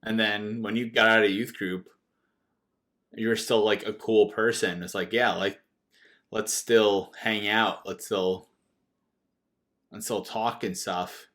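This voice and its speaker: A man's voice: fairly low pitch, little pitch movement, slow, long pauses, fairly bright tone. A man of 37 chatting casually as a podcast co-host, often restarting his phrases as he talks. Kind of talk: casual unscripted chat.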